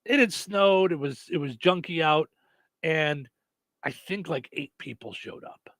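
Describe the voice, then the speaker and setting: Gravelly man rambling on radio. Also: on the high side, a little slow, fairly bright tone, no room sound. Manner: rambling storyteller with many fillers and restarts. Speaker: radio show host; stand-up comedian. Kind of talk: casual on-air radio chat.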